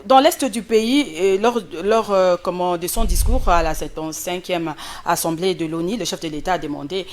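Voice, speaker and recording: A woman hosting a political interview in a conversational way, often pausing on fillers as she builds her questions. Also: fairly low pitch. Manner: hesitant phrasing with many drawn-out fillers. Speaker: interviewer, host.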